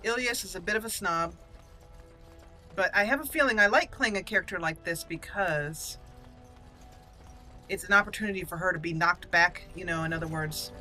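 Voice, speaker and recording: Bright-voiced woman doing playful game commentary. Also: lowish voice, moderate pace, pauses often. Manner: playful, thinking aloud. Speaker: gaming channel host, role-player. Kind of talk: casual let's play commentary with in-character lines. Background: faint game music.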